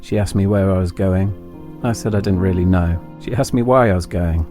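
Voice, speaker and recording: Low-voiced English man narrating over music. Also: steady tone, warm tone. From England. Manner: reflective, deliberate phrasing in short lines. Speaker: podcast host and narrator. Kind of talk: autobiographical storytelling, scripted narration. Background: instrumental music.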